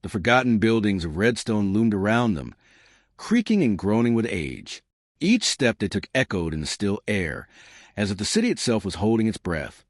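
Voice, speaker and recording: A man narrating a scripted horror story in a measured, dramatic way. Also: on the low side, big pitch swings, no echo, studio quality.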